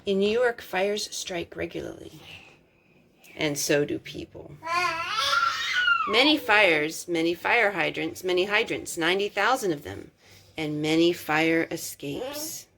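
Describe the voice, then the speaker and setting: A woman reading a picture book aloud as a storytime host, expressive, drawing out words for emphasis. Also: on the low side, unhurried, gravelly, a little hiss or hum. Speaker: storytime reader.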